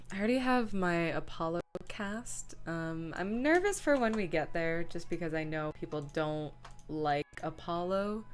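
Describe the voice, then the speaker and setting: A woman streamer chatting casually as she works, rambling and thinking aloud. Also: low-pitched. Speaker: live streamer; comic dub director. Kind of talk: casual livestream chat while working.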